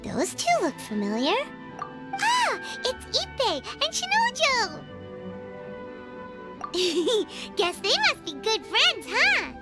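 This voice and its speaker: A woman voicing a tiny, excitable, nosy fairy-like girl companion in a video game, with a squeaky childlike voice. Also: slow, pauses often, heavily acted. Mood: curious, cheerful. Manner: exclamatory, refers to self by name. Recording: loud jingly music behind.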